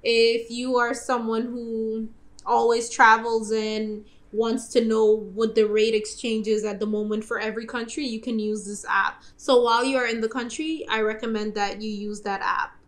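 A woman's voice: on the high side, level tone, moderate pace. A young woman vlogging travel tips to camera from a bedroom, chatty and direct. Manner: chatty, direct, addresses viewers as guys. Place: indoor bedroom.

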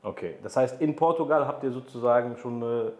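A man's voice: medium pitch, brisk, reverberant. A man in his thirties hosting an interview podcast, asking questions and driving home motivational points with emphasis. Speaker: podcast host. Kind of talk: interview conversation. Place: studio room with city view. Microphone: desk microphone on boom arm.

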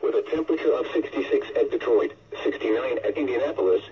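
A man's voice: mid-range voice, lively intonation, fast. A man reading a scripted weather bulletin as an announcer, played through a radio speaker. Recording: distant, echoey, dull radio speaker.

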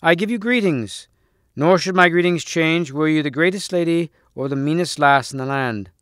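A noble storybook king, played by a male narrator, solemn and burdened. His speech is courtly and archaic, in a clean, smooth tone. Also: on the high side, moderate pace, a bit bright, no room sound, studio quality, voice pushed up, natural voice. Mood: grave, courteous. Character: grave, noble, troubled legendary king.

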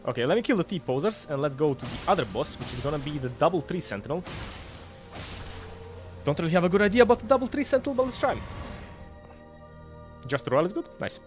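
A young man with a Croatian accent, excitedly commenting on his game live, swearing at bosses and chatting with viewers through a headset. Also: fairly high pitch, fast, pauses often, faint music behind. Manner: excitable, swears and laughs while fighting bosses. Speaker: game streamer. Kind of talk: live gameplay commentary reading chat. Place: home streaming room, indoors.

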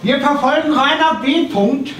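Man acting a high-pitched, pompous tax investigator. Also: moderate pace, a bit bright. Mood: triumphant. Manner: officious, legalistic, hesitating. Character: pompous male tax investigator, officious and pedantic bureaucrat. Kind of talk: live comedy radio play on stage. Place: small theatre stage. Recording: distant camera in echoey theatre, noisy.

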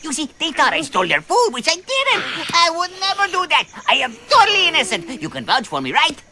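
Small excitable cartoon creature, high and swooping, pleading its innocence. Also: brisk, full character voice. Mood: nervous wheedling. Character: frantic, wheedling little forest animal protesting innocence. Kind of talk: cartoon dub acting. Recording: music behind the voice.

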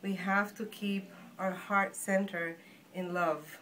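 A woman from Guatemala with a Guatemalan Spanish accent, giving an informal spiritual talk in an earnest, reassuring, halting manner. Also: medium pitch, level tone, fairly bright tone, slight background noise. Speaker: shaman and healer, guest. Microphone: phone handed over.

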